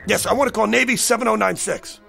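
An American actor playing an agitated, cynical man who insists he has seen disaster coming, speaking in a blunt, slangy, pleading way with a gravelly voice. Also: high-pitched, quick pace, over music. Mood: desperate. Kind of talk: dramatic screen acting.

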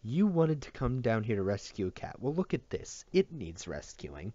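A man's voice: mid-range voice, very animated, normal speed, warm tone, no room sound, highs cut off. A man voicing an indignant young boy who insists earnestly. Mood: frustrated.

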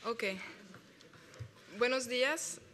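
A woman's voice: a little high, steady tone, sharp tone, slight background noise. A young woman with a French accent, French her first language, presenting her doctoral research in careful, hesitant Spanish at an academic conference. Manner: careful, measured delivery with hesitations. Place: lecture hall with a large audience.

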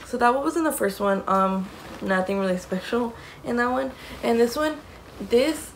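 Raspy young mom, chatty vlog. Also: female voice, mid-range voice, a little hiss or hum, rough recording. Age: young adult. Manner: chatty, rambling, many "um" and "like". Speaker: mom vlogger. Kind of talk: casual show-and-tell vlog. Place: small indoor room against a plain wall.

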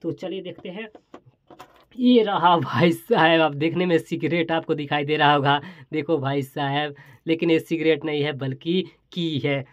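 A man's voice: on the high side, fairly even intonation, unhurried. A man hosting a casual product showcase, talking to viewers in an exclamatory, repetitive, hyping way. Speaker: host of a toy and gadget showcase channel. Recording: slightly dull, echoey room recording.

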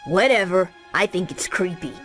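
A woman's voice: lowish voice, normal speed, a bit bright, close to an everyday voice. A woman voicing a cocky, wisecracking young boy hero in a cartoon video game, with a brash, flippant manner. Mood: grumbling. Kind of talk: video game cutscene acting. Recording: music behind the voice.

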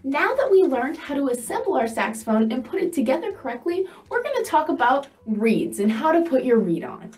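A young woman gives a patient beginner lesson, stopping to show examples as she goes. Her voice is high and bounces up and down a lot. She sits a little back from the mic, with faint music underneath. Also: big pitch swings, a little mellow, far from the mic. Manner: patient, explains slowly, holds up examples. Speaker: music teacher. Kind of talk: instructional demo for beginners. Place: studio set with banner backdrop, indoors.

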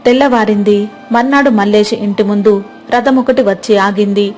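A woman narrating a moral folk tale in an even, clear storytelling manner. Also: medium pitch, fairly quick. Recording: music playing behind.

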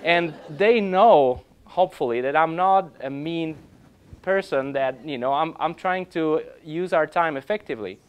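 A man in his thirties, an orchestra conductor, giving an informal lecture in a classroom, halting and restarting often but growing animated, recorded by a camera across the room. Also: fairly high pitch, smooth, muffled recording. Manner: halting, many restarts and fillers, grows animated. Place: classroom with projector.

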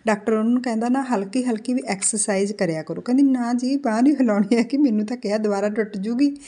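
Woman, 40s, Punjabi accent, home vlog, phone at arm's length, chatty, mellow tone. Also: a little high, fast. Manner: chatty, with frequent tag questions. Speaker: vlogger. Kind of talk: casual chat to camera. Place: home, indoors. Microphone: phone held at arm's length.

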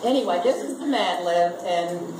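A woman reads a humorous script aloud in an amused way, pausing deliberately between phrases. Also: fairly low pitch. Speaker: family reunion speaker reading a comic Mad Lib aloud. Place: garage full of seated relatives. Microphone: camera a few meters away. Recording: distant camera mic, echoey garage, loud noise.

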